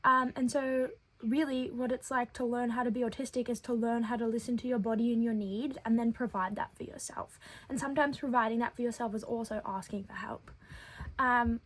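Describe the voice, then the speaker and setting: A young woman with a childlike head voice, telling her own story in an earnest, thoughtful vlog with frequent filler pauses. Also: fairly high pitch, fairly even intonation. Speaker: web series creator.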